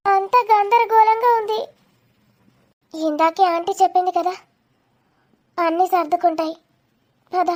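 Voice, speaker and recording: A woman voicing young women and a worried mother in an animated village drama. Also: very high voice. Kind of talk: animated story dialogue in colloquial Telugu.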